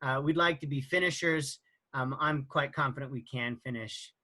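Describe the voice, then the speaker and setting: A man in his forties, the team captain, introducing his family team in a halting way with many fillers. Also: mid-range voice, sharp tone. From Idaho, USA. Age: 40s.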